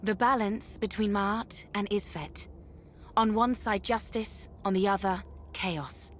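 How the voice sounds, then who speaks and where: Righteous elder sister statue, medium pitch, pompous game acting, faint noise behind. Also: female voice, fairly even intonation, slow pace, fairly bright tone. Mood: solemn, pompous. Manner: solemn, pompous. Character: righteous, haughty eldest daughter, immortal statue guardian of justice. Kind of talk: video game character acting.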